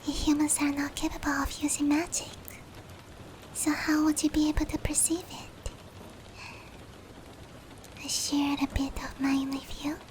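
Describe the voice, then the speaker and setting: A woman voicing a gentle, protective female demon in a soothing, breathy whispered ASMR roleplay, slow and comforting. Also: high-pitched, slight background noise. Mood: gently explaining. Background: rain.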